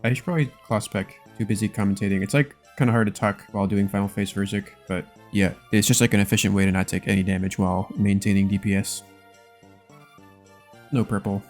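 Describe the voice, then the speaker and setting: A man with a fairly low voice narrates his own video game session. He talks casually and loosely, in slang and gamer jargon, like someone sharing progress with regular viewers. Guitar music plays behind him. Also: steady tone, quick pace, darkish tone. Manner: laid-back slangy speech full of gamer jargon. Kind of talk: casual gameplay commentary.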